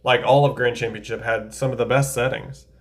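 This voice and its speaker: A man of middling pitch chats loosely as he ranks anime card duels. He sounds enthusiastic and thinks out loud, often stopping short to correct himself. The talk is relaxed and unscripted. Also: fairly quick. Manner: chatty, enthusiastic, thinks aloud with self-corrections. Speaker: anime tier-list creator. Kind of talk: casual tier-list commentary.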